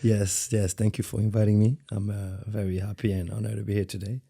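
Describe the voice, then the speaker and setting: A man from France in his thirties, speaking English with a French accent. His low voice is gravelly and dark in tone, recorded dry and close. He talks softly and haltingly, often repeating words while he looks for the right phrase. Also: level tone, no room sound. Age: 30s. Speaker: guest dancer. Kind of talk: interview conversation.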